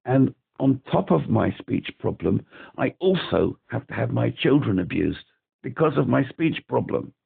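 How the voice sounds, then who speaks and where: Male British caller on a phone line, very gravelly and husky, agitated and rambling. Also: medium pitch, very animated, a little slow. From Britain. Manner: rambling, agitated, repeats himself. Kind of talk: phone call to a helpline. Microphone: telephone.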